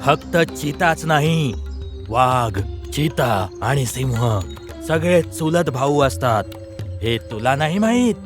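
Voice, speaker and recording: A man voicing a stern lion king in an animated fable, with a commanding manner. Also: mid-range voice, slow pace, music in the background. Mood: haughty, merciless. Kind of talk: dubbed animated moral fable.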